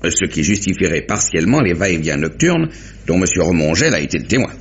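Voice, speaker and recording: An actor playing a brisk, gruff, methodical police inspector in a 1950s French radio mystery, talking things through deliberately. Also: male voice, low voice, animated. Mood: bossy, good-humoured. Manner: talkative, deliberate summing-up with muttered interjections. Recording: dull, noisy vintage radio recording.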